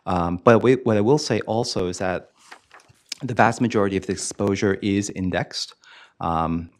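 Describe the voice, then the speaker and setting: A man's voice: lowish voice, normal speed. A man presenting investment figures at a committee meeting, explaining them informally and in plain terms.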